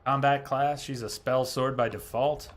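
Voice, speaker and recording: A man's voice: medium pitch, fairly even intonation, a little slow. A man giving casual, chatty live commentary as a game streamer, explaining things and reading menu text aloud.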